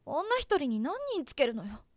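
A woman's voice: high voice, big pitch swings, moderate pace, no room sound. A woman voicing a sarcastic, grumbling young woman. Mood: annoyed. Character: irritated young woman under surveillance.

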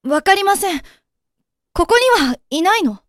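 A woman's voice: extremely high pitch, lively intonation, normal speed, no echo, studio quality. A woman playing a worried, guarded young woman in a voice drama, with casual feminine wording. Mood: wary. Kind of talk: Japanese amateur voice drama acting.

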